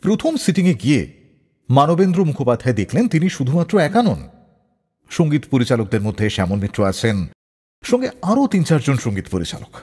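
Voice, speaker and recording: A man's voice: mid-range voice, big pitch swings, brisk, darkish tone, breathy edge. A man hosting a radio show, telling stories between songs with dramatic phrasing. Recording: long reverb, slightly blurred voice, faint music. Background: faint music.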